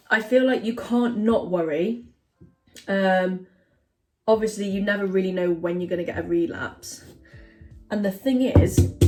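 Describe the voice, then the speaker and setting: A woman's voice: medium pitch, darkish tone. A young woman vlogging in her home kitchen, chatting casually and rambling with lots of fillers. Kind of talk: casual chat to camera.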